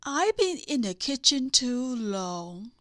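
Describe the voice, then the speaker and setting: A woman's voice: fairly high pitch, very animated, a bit bright, no echo, studio quality, heavily acted. A woman reading the lines of a weary, grumbling teenage boy in a children's storybook. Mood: baffled. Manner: muttering, sighing, drawn-out.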